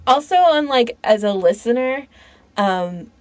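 A woman's voice: on the high side, animated, a little slow, dry recording. A woman co-hosting a podcast, talking casually and conversationally with frequent filler "like". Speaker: podcast co-host.

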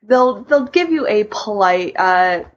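A woman co-hosting a podcast, talking casually in a halting, conversational way. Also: mid-range voice, lively intonation, lo-fi sound. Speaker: podcast co-host. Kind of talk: casual discussion.

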